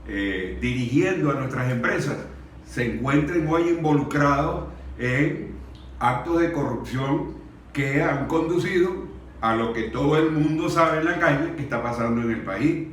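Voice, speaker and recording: A man's voice: a little high, measured pace. Venezuelan man speaking in a very echoey room. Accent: Venezuelan Spanish. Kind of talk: earnest speech at a public discussion. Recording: very echoey room, distant mic, faint noise.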